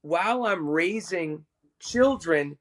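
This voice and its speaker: A middle-aged man, a worship musician and podcast guest, telling a personal story in an animated, self-amused way. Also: high-pitched, slow pace.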